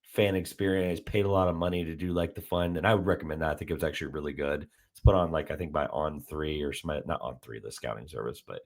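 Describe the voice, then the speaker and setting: Podcast host, man with a very low voice and a touch of rasp, loose rambling chat. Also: steady tone, fast talker, hardly any pauses. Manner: rambling, lots of "like" fillers and restarts. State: slightly raspy from heavy talking. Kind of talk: casual sports podcast chat.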